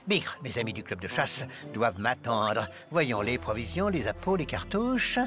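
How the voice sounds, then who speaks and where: A man's voice: medium pitch, sing-song, moderate pace, music in the background, natural voice. A man voicing a pompous, blustering, grumpy amateur hunter in a French children's cartoon. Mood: grumbling, flustered.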